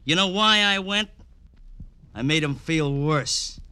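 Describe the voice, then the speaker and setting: A bitter, sneering tough guy, with a very bright, hard-edged voice. He drips sarcasm in the punchy manner of a vintage radio crime drama. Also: on the high side, expressive, slow, clean tone, exaggerated voice. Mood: taunting. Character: bitter sardonic pool-hall tough, ex-soldier. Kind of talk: old-time radio drama acting.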